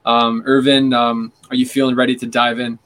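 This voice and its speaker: A man hosts a podcast, reading his guest's introduction from a script. His words are eager, but his voice stays level and narrow in pitch. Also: flat delivery, moderate pace, a bit bright. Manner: enthusiastic, reads a scripted introduction. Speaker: podcast host. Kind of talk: podcast interview hosting.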